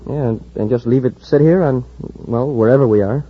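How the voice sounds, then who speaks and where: Guy playing a boyish space cadet sidekick, very husky, fretful, muffled old radio serial. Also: mid-range voice, brisk, natural voice. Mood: worried. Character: eager, anxious young male space cadet sidekick. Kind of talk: 1950s radio drama acting.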